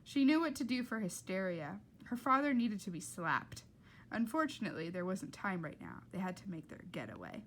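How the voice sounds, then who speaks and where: Animated woman reading a storybook aloud. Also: medium pitch, slight background noise. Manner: dramatic, with emphatic character voices. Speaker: reader reading a children's novel aloud. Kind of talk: expressive storytelling narration.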